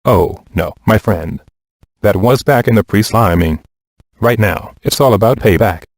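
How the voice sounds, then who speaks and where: Lowish gravelly vengeful game character, taunting, dry studio sound. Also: male voice, unhurried, no room sound. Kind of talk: video game voice acting.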